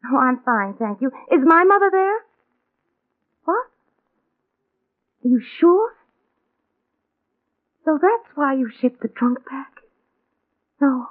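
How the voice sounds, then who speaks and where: Seeking a worried American wife, high plaintive voice, insistent, old-time radio drama through narrow bandwidth. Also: female voice, very animated, slow, pauses often, heavily acted. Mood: fretful, plaintive. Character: anxious, fretful wife searching for her missing mother. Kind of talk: 1940s radio drama acting. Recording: tinny narrow-band old radio recording.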